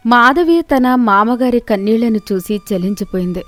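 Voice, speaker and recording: A woman storyteller narrates a scripted moral tale. Her voice is on the high side, and the recording is close and dry. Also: animated, normal speed, dry recording, faint music behind.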